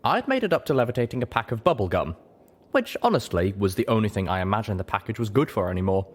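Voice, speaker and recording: A narrator reading a story aloud in an expressive, scripted style. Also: mid-range voice, quick pace. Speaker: audiobook narrator. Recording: roomy echo, clearly noisy background.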